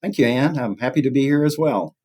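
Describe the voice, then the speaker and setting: A man's voice: mid-range voice, brisk, warm tone, breathy edge. A man speaking as an academic interview guest, explaining conversationally and illustrating his points with examples. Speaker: guest, professor of communication.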